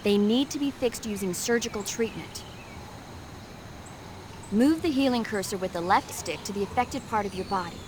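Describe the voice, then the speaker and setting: An actress playing a calm female military support medic, giving clear step-by-step instructions in a scripted game tutorial. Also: medium pitch, moderate pace, pauses often, natural voice. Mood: calm, instructive. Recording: insect noise behind voice.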